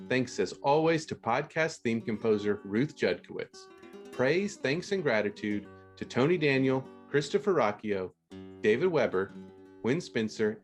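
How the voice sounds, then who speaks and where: A man hosting a podcast, delivering a scripted sign-off with thanks. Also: mid-range voice. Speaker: podcast host.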